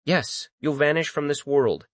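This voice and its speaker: A man's voice: on the high side, a little slow, no echo, natural voice. A man voicing an irritable female spirit in a dramatized audiobook, snappish and contemptuous. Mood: scornful.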